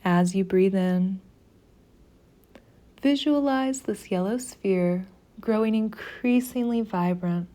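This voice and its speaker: A woman guiding a meditation in a soothing, measured narration with long pauses between phrases. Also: medium pitch, mellow. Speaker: meditation guide. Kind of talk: slow guided meditation narration.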